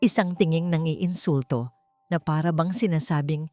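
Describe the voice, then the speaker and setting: A woman narrating a scripted story in a dramatic, suspenseful style, with deliberate short emphatic phrases. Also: sits low, a little mellow, no room sound. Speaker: audiobook narrator. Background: background music.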